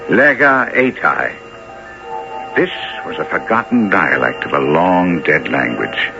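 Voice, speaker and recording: An actor playing a thoughtful, curious literary gentleman in a 1970s radio drama, speaking deliberately as he questions and narrates. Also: low-pitched, expressive, exaggerated voice. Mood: pondering, intrigued. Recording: dull 1970s radio recording with music.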